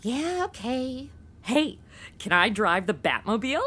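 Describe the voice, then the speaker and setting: A woman acts out a brash young female sidekick in a superhero comedy audio drama. Her voice is high and bright, with swooping intonation. She is mouthy, informal and sarcastic. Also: big pitch swings, unhurried, heavily acted. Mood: sarcastic, annoyed.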